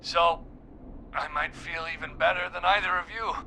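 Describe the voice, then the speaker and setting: An actor playing a wounded, guilt-ridden small-town man in a video game, weary and halting as he confesses over a call. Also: on the high side, fairly even intonation, sharp tone, slight background noise. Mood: woozy, wry. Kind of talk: dramatic video game voice acting.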